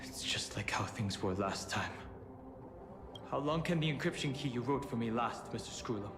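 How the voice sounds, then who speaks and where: A man's voice: mid-range voice, lively intonation, moderate pace, over music, close to an everyday voice. A man playing a grave, stoic young hero in a video game, brooding and restrained, echoing over ambient noise. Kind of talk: dramatic game voice acting.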